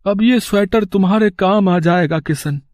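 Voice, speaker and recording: A man voicing a poor, kindly, selfless older family man in an animated moral story, affectionate and reassuring. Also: high-pitched, normal speed, no echo, studio quality, close to an everyday voice.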